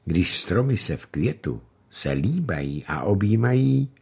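A man reading the part of a gentle, wise old grandmother in an audiobook, speaking slowly and softly with a husky voice. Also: on the low side, very animated, full character voice. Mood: calm, tender. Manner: slow, soft-spoken, unhurried.

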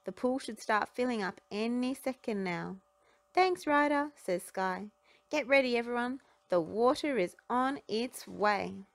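A woman's voice: on the high side, animated, measured pace, creaky, dry recording, pitched above the performer's own voice. A woman voicing an eager, confident boy who leads rescue pups in a storybook, with rallying calls. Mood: excited.

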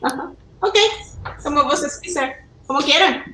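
A woman's voice: sits high, a little fast, bright, forceful tone, slight background noise. Woman, Spanish native, upbeat, inviting, echoey video call. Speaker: community organizer. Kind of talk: welcoming address on a video call.

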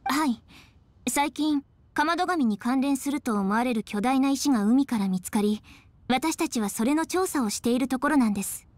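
A woman's voice: high-pitched, animated, moderate pace, a little mellow. A woman voicing a proper, reserved but easily flustered young woman in an anime game. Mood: composed, inquiring. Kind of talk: Japanese anime game voice acting.

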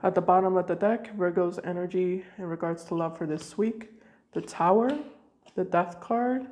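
Soft-voiced woman reading tarot, a bit sick. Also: on the low side, steady tone, moderate pace. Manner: soft, thinking aloud with hesitant restarts. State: sick with a cold. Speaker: tarot reader. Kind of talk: casual tarot reading to camera. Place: home room with decorated card table.

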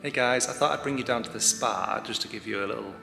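A man's voice: medium pitch, fast talker, gravelly, over music. A man talking casually and informally as a travel vlogger, with an upbeat, chatty manner. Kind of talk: casual vlog chat.

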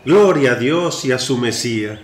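A man's voice: medium pitch, expressive, moderate pace, close to an everyday voice. An actor playing a humble old father in a radio play, reverent and emotional. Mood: reverent, grateful. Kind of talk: Spanish-language radio play acting. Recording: roomy echo, faint birdsong noise.

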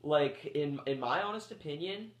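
A man's voice: fairly high pitch, animated, moderate pace. Rambling man getting over a cold. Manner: rambling, full of um and like, restarts sentences. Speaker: movie reviewer. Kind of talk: casual rambling movie review. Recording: echoey room.

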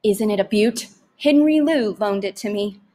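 A woman's voice: medium pitch, normal speed, dark tone, natural voice. A woman reading the part of a brusque father of few words in a storybook. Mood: matter-of-fact. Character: terse, gruff immigrant father set on a rat hunt.

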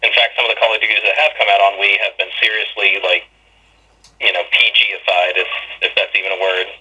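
A man's voice: lowish voice, level tone, fast talker, bright, forceful tone. A man working as a store clerk, talking casually and patiently over the telephone, matter-of-fact. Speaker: video game store clerk. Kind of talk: casual phone conversation. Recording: harsh, raspy telephone line.

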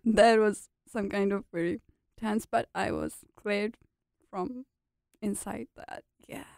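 Young woman from Pakistan, regional Pakistani first language, frequent laughs, short halting phrases, slightly gravelly voice, dry close mic. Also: mid-range voice, steady tone, a little mellow, no room sound. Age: young adult. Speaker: guest, international student. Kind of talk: casual interview chat.

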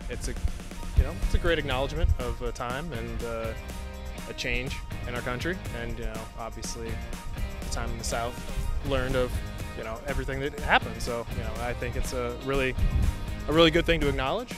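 A man in his thirties answers a street interview in a relaxed, hesitant way, into a handheld mic. Loud music nearly covers his voice. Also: mid-range voice, a little fast. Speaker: passerby. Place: city street outdoors.

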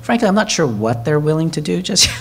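A man's voice: on the high side, big pitch swings, fast, husky. Man, meeting chair, conversational, hesitant fillers. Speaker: committee chair leading a meeting. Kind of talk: hybrid meeting discussion.

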